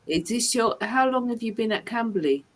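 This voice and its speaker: A woman's voice: fairly high pitch, lively intonation, moderate pace. A woman from England, tutoring a learner one to one and repeating and rephrasing her questions patiently. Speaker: English tutor. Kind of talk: online one-to-one English lesson.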